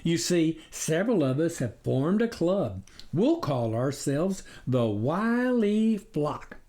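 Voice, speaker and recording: A man's voice: on the high side, very animated, takes it slowly, warm tone, voice pushed up. A man voicing a sly storybook coyote who passes himself off as a duck with glib, folksy sales patter. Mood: eager, scheming. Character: sly male coyote posing as a vegan duck. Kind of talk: storybook character reading.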